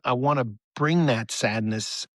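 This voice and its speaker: An American man in a casual interview, speaking at a middling pitch with a dry, close sound. He tells stories earnestly and in a rambling way, circling back to repeat his phrases. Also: a little slow, dry recording. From United States. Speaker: rock musician, interview guest.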